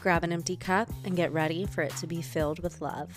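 A woman's voice: lowish voice, fairly even intonation, a little fast. A woman reading a friendly, scripted podcast intro with an upbeat manner. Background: intro music.

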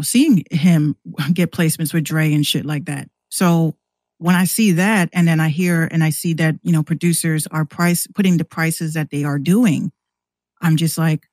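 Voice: medium pitch, darkish tone, no echo. A podcast guest who hosts a show, talking casually and at length in a rambling, conversational way.

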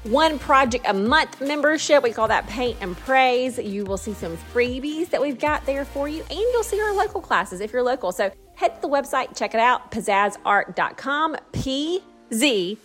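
A woman's voice: high-pitched, animated, fairly bright tone, soft background music. A woman hosting a podcast in a casual, chatty way. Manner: chatty, spells out web addresses slowly. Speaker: podcast host.

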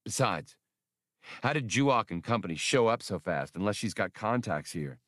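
A man's voice: fairly low pitch, expressive, moderate pace, fairly bright tone, dry recording, studio quality. A man voicing a brash, stubborn starship captain in an audiobook, with a blunt, argumentative manner. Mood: insistent. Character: brash, stubborn male space pilot captain.

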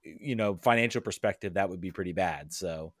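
Podcast guest, male, low-pitched, dry close mic; loose rambling fan talk with frequent restarts. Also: a little fast, no echo. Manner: rambling, many restarts and fillers. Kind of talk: casual podcast chat.

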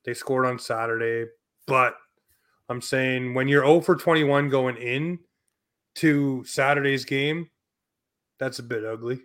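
A man with an average-pitched voice hosts casual sports talk. He gives his opinions bluntly, in punchy, colloquial phrases. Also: slow pace, long pauses, a bit bright. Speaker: sports podcast host.

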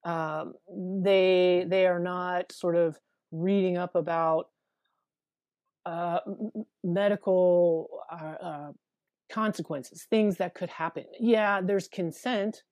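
A woman with a deep, low voice speaks candidly as a therapist guest in an interview. Her tone is mellow and relaxed, and the sound is dry and close. She talks plainly, circling back and restarting her thoughts with fillers. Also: takes it slowly, no room sound, highs cut off. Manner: frank, plain-spoken, with many restarts and fillers.

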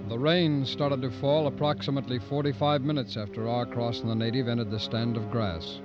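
A man's voice: medium pitch, little pitch movement, fairly quick, loud music behind the voice. A man giving scripted narration in the manner of a 1950s radio drama. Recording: muffled old radio recording.

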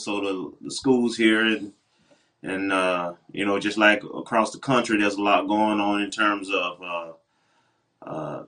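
A man with a lowish voice co-hosting a casual talk show. He talks in a measured, steady way, with pauses and fillers as he thinks. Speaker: co-host. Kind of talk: casual chat.

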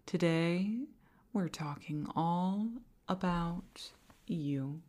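A woman plays a possessive female boss in an intimate audio roleplay. Her voice is low and she takes her time, coy and halting. She sounds flirtatious and easily flustered, with words that can turn breathy. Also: mellow. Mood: doting, flirtatious. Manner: coy, halting, giggly, often dropping to breathy whispers.

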